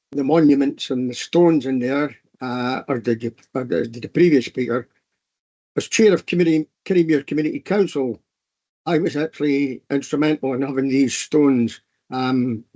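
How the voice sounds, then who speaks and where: A man speaking as a councillor at a council meeting, halting and repeating words as he goes. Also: medium pitch, a little mellow, muffled recording.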